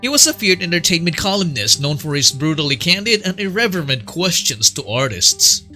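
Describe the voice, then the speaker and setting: A man's voice: on the high side, big pitch swings, brisk, pushed and bright, soft background music. A man narrating a fan-made documentary from a script, in a chatty way that asks the viewer questions.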